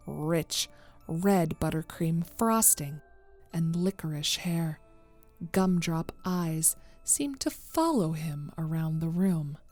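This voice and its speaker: A woman's voice: deep voice, darkish tone. A woman narrating a scripted horror story as its storyteller.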